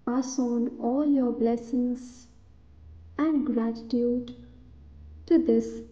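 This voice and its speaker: A woman narrating a scripted guided meditation in a soothing, deliberate way, in short phrases with pauses between them. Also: a little high, steady tone, warm tone, muffled recording.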